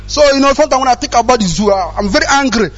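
A man with a high voice gives agitated, impassioned testimony at a rapid pace, circling back over his phrases. The recording is dull, with faint music underneath. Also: lively intonation, fairly bright tone. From Nigeria. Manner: agitated, repetitive, often asks for understanding. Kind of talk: impassioned personal testimony at a gathering. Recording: dull lo-fi sound with music behind.

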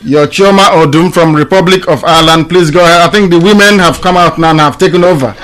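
Radio host, man, formal and measured, slightly raised pitch. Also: a bit bright. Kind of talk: call-in radio hosting.

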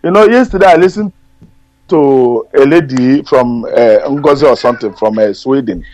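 A middle-aged Nigerian man whose first language is Igbo, calling in to a radio show and speaking haltingly with many fillers and repeated words. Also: medium pitch, a little mellow, muffled recording. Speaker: radio caller. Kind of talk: call-in radio talk. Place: Germany.

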